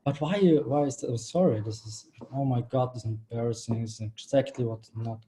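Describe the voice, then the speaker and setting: Man, 30s, from Austria, mellow tone; steady, explanatory project presenter over a video call. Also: medium pitch, a little fast. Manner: a little flustered by screen-sharing trouble, then steady and explanatory. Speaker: bootcamp graduate presenting a project. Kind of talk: live-streamed project presentation.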